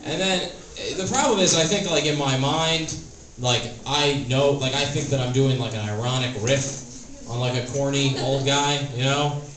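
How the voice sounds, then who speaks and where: Dry, halting stand-up man in his thirties. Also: medium pitch, fairly bright tone. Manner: dry, hesitant delivery with many "uh" and "like". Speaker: stand-up comedian. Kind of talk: stand-up comedy set. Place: comedy club stage. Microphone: stage microphone. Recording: roomy club sound from a distance, audience noise. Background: audience laughter.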